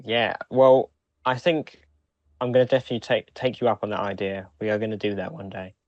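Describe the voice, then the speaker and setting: A man's voice: lowish voice, little pitch movement, fairly quick, no echo, highs cut off. A man co-hosting a podcast, talking casually as he shares news and thoughts. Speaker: podcast co-host. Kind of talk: casual chat, reading out release news.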